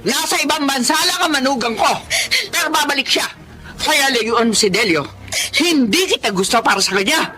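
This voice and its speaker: Sharp, haughty, cruel lady boss. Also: high voice, animated, normal speed. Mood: accusing. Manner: scolding, sharp, menacing. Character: cruel, haughty older female employer, jealous domineering mother. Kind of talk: radio drama acting.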